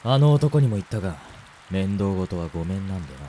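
A man playing a rough-spoken, cynical adventurer in a radio drama, curt, scornful and cold. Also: low-pitched, animated, fairly quick, mellow, a little hiss or hum. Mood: curt refusal.

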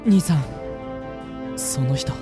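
A man's voice: slow, natural voice. A man playing a polite, earnest young mage and loyal younger brother in an amateur radio drama, courteous in his wording. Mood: alarmed. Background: music.

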